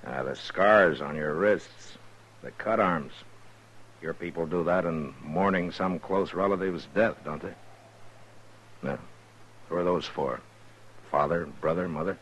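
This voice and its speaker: An American actor playing a stern, weary frontier lawman in a 1950s radio western, plain-spoken and measured. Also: sits low, fairly bright tone, slight background noise, poor audio. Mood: probing, calm. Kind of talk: 1950s radio western acting, partly first-person narration.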